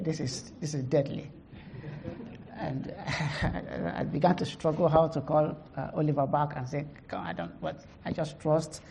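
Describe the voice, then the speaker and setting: A middle-aged woman pastor with a heavy Nigerian accent gives a conference testimony, halting, with many fillers, laughing at herself. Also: deep voice, vocal fry, mellow, slight background noise.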